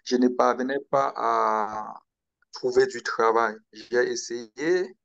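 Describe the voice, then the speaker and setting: Slow, gravelly man from Cameroon. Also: mid-range voice, steady tone, a bit bright, no echo, lo-fi sound. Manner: slow, hesitant, with pauses and restarts. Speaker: guest. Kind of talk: interview, personal account.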